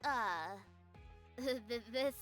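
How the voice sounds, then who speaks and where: High, animated schoolgirl magical heroine, awkward and scheming, visual novel game, unhurried delivery over music. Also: heavily acted. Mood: awkward, hesitant.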